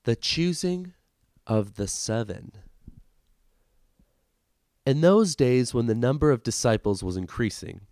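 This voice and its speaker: Man with swinging pitch, dry close sound. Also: lively intonation, slow pace, pauses often, a little mellow, no echo. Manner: irreverent, casually profane. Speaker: host of a comedic reading podcast. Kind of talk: amateur reading aloud with casual asides.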